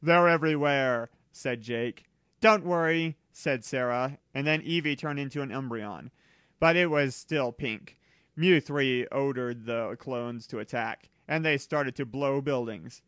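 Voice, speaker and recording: A man reading fan fiction aloud as narrator in a plain, deliberate way. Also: mid-range voice, fairly bright tone, no room sound, clean studio sound.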